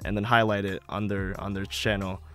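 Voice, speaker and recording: A man's voice: fairly low pitch, flat delivery, fairly quick, a bit bright. A man chatting casually as a podcast guest, emphatic and jokey, repeating himself for effect. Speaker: podcast guest and amateur voice actor. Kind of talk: casual group banter. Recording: music playing behind the voice. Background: background music.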